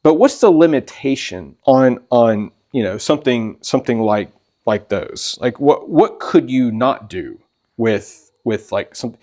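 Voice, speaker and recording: A man's voice: medium pitch, animated, unhurried, darkish tone. A man hosting a casual interview, talking informally and often repeating or echoing short phrases. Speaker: host.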